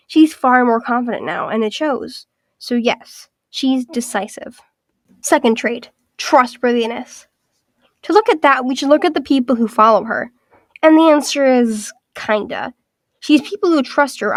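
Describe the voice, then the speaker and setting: A woman with a fairly high voice that comes out raspy and congested from being sick. She walks through a scripted video essay in an orderly, list-by-list way. The sound is dry and close, with no room around it. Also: no echo. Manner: structured, list-by-list evaluation.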